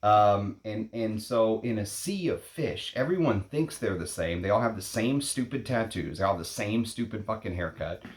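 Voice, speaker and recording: A man in his late thirties hosting a perfume vlog, talking casually in a rambling, opinionated way, blunt and quick to swear. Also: lowish voice, animated. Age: 30s. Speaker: perfume channel host. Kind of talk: casual unscripted vlog talk. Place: at home in Texas.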